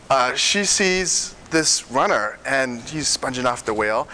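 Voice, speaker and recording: A man's voice: on the high side, sharp tone, a little hiss or hum. A young man from Singapore and Malaysia giving an informal talk to a small student group, telling stories in a conversational way with frequent chuckles and fillers.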